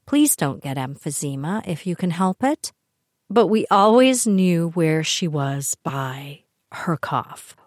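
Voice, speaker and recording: An older Canadian woman chats through family stories in a wry, animated way, her voice sitting fairly low. The sound is dry and close, with no room echo. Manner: chatty, wry, animated asides. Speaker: podcast host. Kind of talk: personal storytelling monologue.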